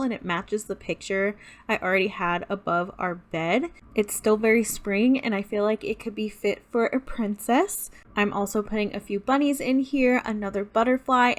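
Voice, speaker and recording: A woman giving a casual, chatty voice-over as a home decor vlogger, talking directly and informally to her viewers. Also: medium pitch.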